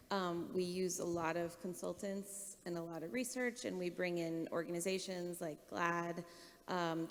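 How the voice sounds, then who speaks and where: A woman explaining things as a television writer on a panel, recorded in an echoey hall. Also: on the low side. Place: large indoor conference hall.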